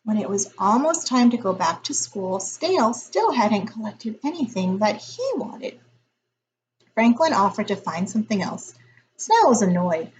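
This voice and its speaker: A woman reading a picture book aloud as a teacher would, in a deliberate, expressive storytelling style. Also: medium pitch, slight background noise, rough recording.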